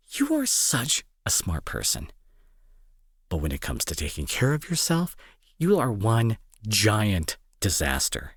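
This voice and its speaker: A man acting in an intimate audio roleplay as a gruff young bully who is secretly worried and protective, talking to the listener with sarcasm and exasperation. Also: on the low side, no room sound, clean studio sound, exaggerated voice. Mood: frustrated concern.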